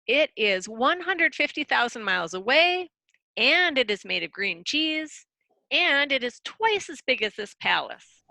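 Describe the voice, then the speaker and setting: A woman's voice: high voice, sing-song, unhurried, gravelly, sharp tone, no echo, exaggerated voice. A woman playing a proud, defensive royal wizard in a storybook readers theater, reciting in a grand, declamatory way. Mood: dismissive.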